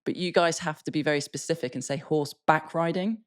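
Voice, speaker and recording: A woman's voice: low voice, steady tone, fairly quick. An English woman co-hosting a podcast, chatting casually in a blunt, self-mocking way and swearing freely. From England. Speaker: podcast co-host. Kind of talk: casual chat.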